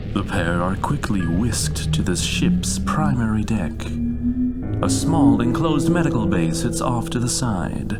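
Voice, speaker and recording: Low-voiced man narrating ominous sci-fi drama. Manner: ominous, measured, theatrical.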